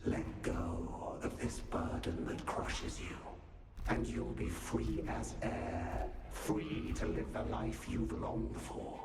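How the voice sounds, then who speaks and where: An actor playing a bitter, scheming older nobleman shade in a video game, breathy and taunting with slow, deliberate delivery. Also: natural voice. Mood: taunting coaxing. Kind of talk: dramatic video game voice acting. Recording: echoing voice under loud music.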